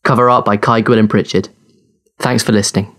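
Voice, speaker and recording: A man's voice: lowish voice, steady tone, a little fast, fairly bright tone. A man reading podcast credits in a plain announcer's style.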